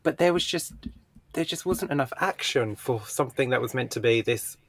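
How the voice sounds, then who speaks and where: Male podcast host, creaky mid-range voice, blunt and opinionated in casual conversation. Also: mid-range voice, lively intonation, moderate pace. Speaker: podcast book club host. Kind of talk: casual comic book discussion.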